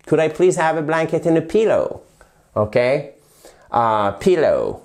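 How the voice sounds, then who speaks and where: French teacher with a head cold. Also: male voice, on the high side. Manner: lively, addresses viewers as dear friends, slows to model English phrases. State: has a cold, slightly nasal voice. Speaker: English teacher. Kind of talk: bilingual language lesson at a whiteboard.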